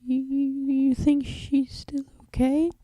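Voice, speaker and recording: A man voicing a timid, tearful female pegasus pony with halting, stammering sobs in a strained falsetto. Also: level tone, mellow, no room sound, poor audio. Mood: fearful, sobbing.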